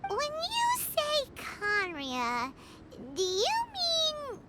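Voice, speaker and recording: A woman voicing a tiny, childlike female fairy companion in a video game, squeaky, chatty and earnest. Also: wildly swooping pitch, extremely slow, heavy background noise, exaggerated voice. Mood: curious, puzzled. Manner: speaks of self in third person, childlike. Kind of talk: cartoonish video game voice acting. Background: prominent background music.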